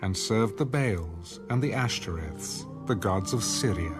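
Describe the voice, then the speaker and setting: A man reading scripted audio Bible narration in a measured, solemn manner. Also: fairly low pitch, animated. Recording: music under the voice.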